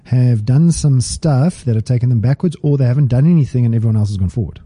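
Husky, dark-voiced man. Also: mid-range voice, fast talker, no room sound. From South Africa. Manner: teasing, playful banter. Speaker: podcast co-host. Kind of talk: casual chat. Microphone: studio mic.

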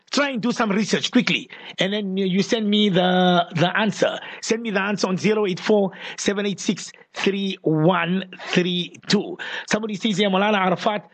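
A high-pitched male radio host chats live with his listeners. He is affectionate and informal, circling back to repeat his phrases as he goes. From Portugal and Mozambique. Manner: affectionate, repeats phrases, uses Islamic expressions. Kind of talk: casual live call-in chat with listeners.

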